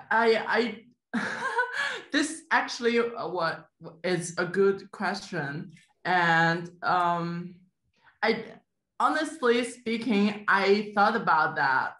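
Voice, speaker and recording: A woman's voice: mid-range voice, a bit bright, roomy sound. A young woman from China answering as a panelist over a video call, hesitant and laughing nervously between phrases. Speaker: panelist, film scholar. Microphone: webcam on a video call.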